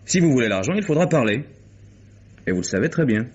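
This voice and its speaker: An actor playing an insistent, coolly sardonic private detective in a vintage radio crime drama. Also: male voice, mid-range voice, big pitch swings, quick pace, darkish tone, husky. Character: dogged hired private detective, probing and insistent. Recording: dull lo-fi vintage radio recording.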